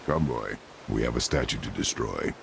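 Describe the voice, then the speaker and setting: A grim warrior father from a video game, with an extremely deep voice full of gravel. He speaks in terse, commanding, deadpan phrases. Clear background noise sits behind him. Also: normal speed, full character voice. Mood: stern. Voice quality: gravelly, rumbling. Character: stern, weary warrior father, grim and distrustful of gods. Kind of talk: video game cutscene acting.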